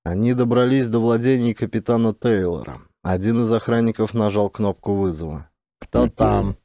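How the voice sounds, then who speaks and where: Mellow, lowish-voiced male audiobook narrator. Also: brisk, no echo, studio quality. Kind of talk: dramatic scripted fiction narration.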